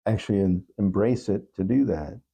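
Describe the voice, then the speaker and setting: Deep, gravelly, mellow-voiced man. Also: moderate pace. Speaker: talk show guest. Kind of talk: reflective interview answers.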